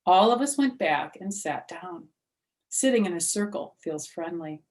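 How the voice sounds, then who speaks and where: A woman storyteller reads a children's book aloud. Her delivery is animated, and she steps out of the text to speak to her listeners. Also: lowish voice, unhurried.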